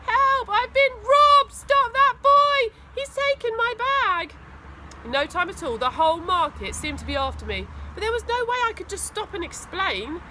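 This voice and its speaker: A woman reads a children's story with dramatic emphasis. Her voice is bright and fairly high, swinging widely in pitch, with faint noise in the background. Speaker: school teaching assistant reading aloud. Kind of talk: animated children's story reading.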